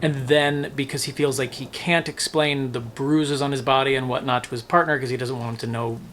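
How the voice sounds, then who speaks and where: A man's voice: mid-range voice, steady tone, brisk, fairly bright tone, slight background noise. A man talking casually and emphatically in a book review vlog, often musing aloud and second-guessing himself.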